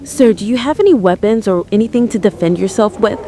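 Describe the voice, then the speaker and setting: A woman playing a steady emergency dispatcher, giving firm, controlled instructions. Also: mid-range voice, fairly quick, a little hiss or hum, lightly acted. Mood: controlled, concerned. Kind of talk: audio drama acting.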